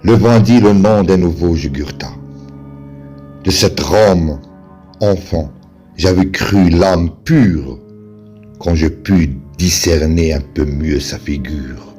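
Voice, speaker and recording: Male poetry reciter, very low voice, solemn and declamatory, unhurried, over music. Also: warm tone. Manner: slow, measured, declamatory delivery with pauses.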